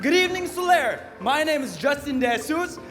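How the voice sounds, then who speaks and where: Very high-pitched young man, big hall. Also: big pitch swings, a little fast, fairly bright tone. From Philippines. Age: 20s. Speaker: pageant contestant. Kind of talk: staged self-introduction. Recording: reverberant hall with music behind.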